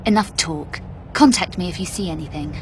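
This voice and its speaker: An actress playing a cool, sardonic British adventurer with a curt, unflappable manner in a video game. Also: female voice, on the low side, a little fast, a little hiss or hum, natural voice. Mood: dry, impatient. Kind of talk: video game cutscene acting.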